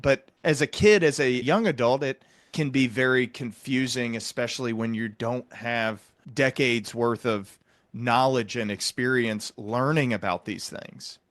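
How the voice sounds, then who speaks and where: A man hosting a reflective interview, explaining things in a measured, reassuring way. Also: medium pitch, a bit bright, no echo. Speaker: interview host and psychiatric clinician.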